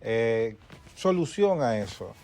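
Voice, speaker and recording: A man hosting a talk show, asking a guest measured, formal questions. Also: medium pitch, big pitch swings, creaky, a little mellow.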